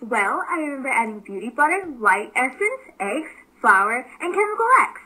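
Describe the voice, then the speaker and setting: A flustered goddess from a mythology cartoon, played by a woman. She speaks haltingly and sheepishly, high in pitch and bright in tone. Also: big pitch swings, exaggerated voice, rough recording. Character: flustered, sheepish adult goddess, new mother. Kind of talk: amateur mythology cartoon dialogue.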